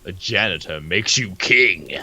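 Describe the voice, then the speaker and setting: Male, slightly low pitch, bright edgy tone, wisecracking, crude, casual co-host chat. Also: lively intonation, a little slow, creaky, breathy edge, dry recording. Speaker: co-host of a comic read-along video. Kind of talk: casual joking commentary.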